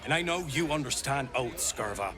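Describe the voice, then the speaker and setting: An actor playing a stubborn, plain-spoken debt enforcer and loyal brother in a video game. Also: male voice, medium pitch, lively intonation, moderate pace, lightly acted. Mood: defensive. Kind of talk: video game character acting. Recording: music and crowd behind.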